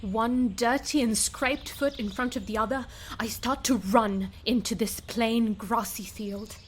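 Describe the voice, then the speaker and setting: A woman playing a frightened, desperate prisoner, speaking in halting, broken phrases as an audio drama monologue. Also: a little high, steady tone, a little hiss or hum, close to an everyday voice. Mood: panicked.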